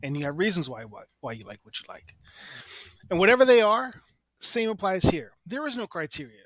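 A man with a fairly high voice chats casually as a podcast co-host. His pitch swings widely as he talks. The recording is dry and close. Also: big pitch swings, a little fast, fairly bright tone, no room sound, rough recording. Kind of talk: casual chat.

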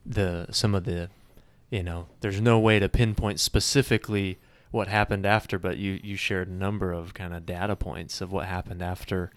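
A man's voice: deep voice, fairly even intonation, normal speed, few pauses, no room sound. A man in his thirties hosting an interview, talking casually with many fillers and restarts. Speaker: podcast host.

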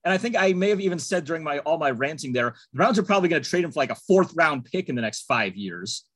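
A man from Texas, co-hosting a sports podcast in a relaxed, easygoing way. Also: fairly high pitch, fast talker, slightly rough, no echo, studio quality. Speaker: podcast co-host. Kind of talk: casual sports podcast chat.

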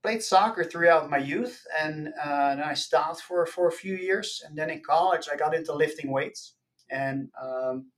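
A man from the Netherlands, an academic guest explaining things casually and haltingly in an interview. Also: on the high side, fairly bright tone. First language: Dutch. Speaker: podcast guest, nutrition professor.

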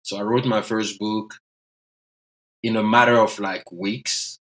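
A man's voice: lowish voice, fairly even intonation, slow pace, a bit bright, rough recording. A man in his thirties from Côte d'Ivoire, a native French speaker, telling his own story as a podcast guest in a reflective, self-deprecating way. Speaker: guest economist. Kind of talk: podcast interview.

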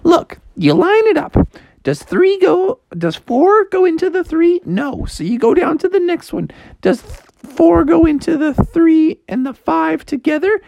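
A woman's voice: very high-pitched, big pitch swings, unhurried, creaky, darkish tone, no echo, pitched above the performer's own voice, heavily acted. A woman voicing a peppy, overbearing mother frog in a storybook, emphatic and sing-song, full of encouragement. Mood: patient explaining. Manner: sing-song, emphatic, pep-talk repetition.

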